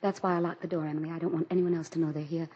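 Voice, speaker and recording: An actress playing a grieving, high-strung president's wife in a theatrical, intense 1940s radio drama style. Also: female voice, little pitch movement, very fast, husky, highs cut off. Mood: secretive, unbalanced. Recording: old 1940s radio broadcast with music.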